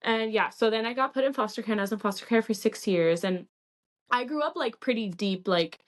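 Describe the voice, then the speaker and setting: A woman's voice: a little high, fairly quick. A young woman from California, a podcast guest telling a candid, rambling personal story in casual chat, with many "like" fillers.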